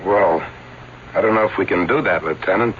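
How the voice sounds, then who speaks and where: An actor playing a loyal, defensive escape-act associate in a 1970s radio drama, speaking in an earnest but evasive way. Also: male voice, low voice, fairly quick, natural voice. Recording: muffled, faintly noisy old radio broadcast.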